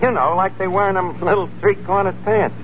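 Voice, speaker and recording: An actor playing a wisecracking, pretentious lowbrow New York tavern manager with mock-refined diction in a 1940s radio comedy. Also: male voice, steady tone, fairly quick, close to an everyday voice. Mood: sardonic, breezy. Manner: sardonic, mock-refined, mangles grammar and fancy words. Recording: tinny, noisy 1940s radio recording.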